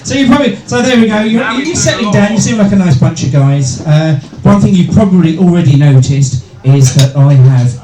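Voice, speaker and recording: A middle-aged male stand-up comedian with a deep voice hosting a live comedy night, bantering with hecklers and swearing freely. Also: lively intonation, brisk, few pauses. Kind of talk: stand-up comedy with crowd work. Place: small live venue with a crowd. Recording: echoey room with loud crowd.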